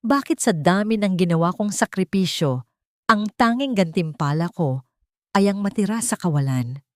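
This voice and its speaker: A woman's voice: medium pitch, lively intonation, normal speed, dry recording, studio quality, voice pushed up, natural voice. A woman voicing a heartbroken, wronged young daughter in an audio drama, pleading and bitter. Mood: bitter despair. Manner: pleading, wounded.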